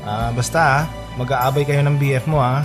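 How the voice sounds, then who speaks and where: An actor playing an expressive, lovestruck young man in a radio drama, engaged and playful with his best friend. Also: medium pitch, normal speed, natural voice. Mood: playful, confiding. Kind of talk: radio drama acting with first-person narration. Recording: music behind the voice. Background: background music.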